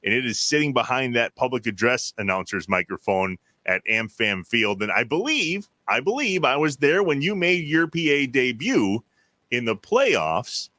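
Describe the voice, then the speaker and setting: Male American host, medium pitch, bright and punchy, dry recording, informal sports interview. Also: very animated, normal speed, no echo. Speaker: podcast host. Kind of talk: casual interviewing and chat.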